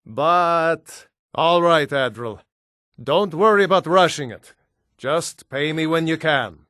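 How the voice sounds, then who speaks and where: An actor playing a weary, wary seafaring trader in a video game, talking in a plain, grumbling way. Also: male voice, a little high, slow pace, sharp tone, dry recording, studio quality. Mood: defensive. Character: weary, wary male seafarer hauling cargo.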